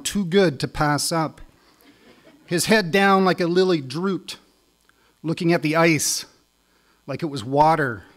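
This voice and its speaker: A middle-aged Canadian man performing as a spoken word poet on stage, with a wry, deliberate delivery. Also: fairly high pitch. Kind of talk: live poetry recital with casual lead-in.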